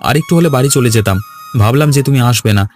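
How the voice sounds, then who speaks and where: A man's voice: mid-range voice, racing, darkish tone, faint music behind, close to an everyday voice. A man playing a brash, quarrelsome young villager in a cartoon, talking bluntly and goading in colloquial speech. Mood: teasing. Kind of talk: Bengali cartoon dialogue.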